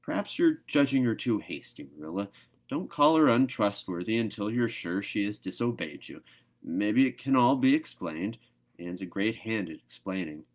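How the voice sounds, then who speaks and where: Patient farm man, played by a male reader, storybook character, gentle, mild, hesitant delivery. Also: fairly low pitch, lively intonation, moderate pace. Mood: gently placating.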